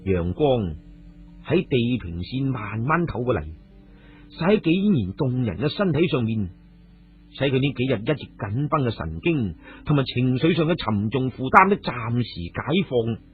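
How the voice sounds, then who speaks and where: A man's voice: mid-range voice, big pitch swings, moderate pace, breathy edge. A man with a Cantonese accent narrating a dramatised story as a radio storyteller. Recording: muffled phone-like radio audio, music behind.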